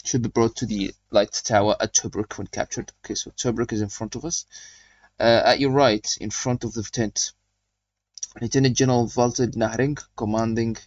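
A man's voice: mid-range voice, steady tone, normal speed, no echo, lo-fi sound. A young man hosting a gaming channel, chatting casually as he plays and reads mission briefings aloud. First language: not German. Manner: chatty, rambling, reading game briefings aloud. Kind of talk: casual game commentary.

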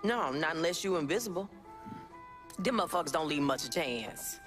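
A woman's voice: sits low, animated, normal speed, fairly bright tone. An actress playing a tough, slangy, streetwise young woman activist in a video game drama. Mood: conspiratorial. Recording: game music under dialogue.

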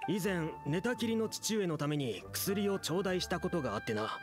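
A man's voice: high-pitched, very animated, normal speed, over music. A man playing an earnest, gullible villager who is an awestruck believer, in anime game acting. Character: fervent male villager, gullible devoted believer.

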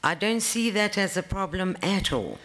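Middle-aged South African woman, interview candidate; earnest and measured with ums, crisp bright voice. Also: medium pitch, animated. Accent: South African English. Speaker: judicial candidate being interviewed. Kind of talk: formal interview answers. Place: large formal hearing room. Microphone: table microphone.

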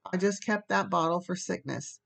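A brisk, no-nonsense older woman from a storybook, acted by a woman reader. Her creaky voice is stern and curt, that of a guardian used to scolding. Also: fairly low pitch, pitched above the performer's own voice. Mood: exasperated.

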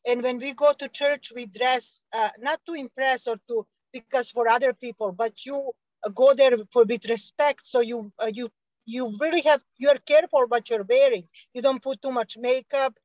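A woman's voice: fairly high pitch, steady tone, normal speed, a little mellow, dry recording, dull audio. A woman calling in to a talk show, speaking hesitantly with many restarts. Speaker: caller. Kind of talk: casual call-in talk.

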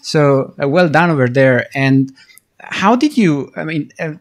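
A man's voice: fairly high pitch, expressive, unhurried. A man with an Italian accent hosting a video-call interview, laughing often and teasing his guest lightly. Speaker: host.

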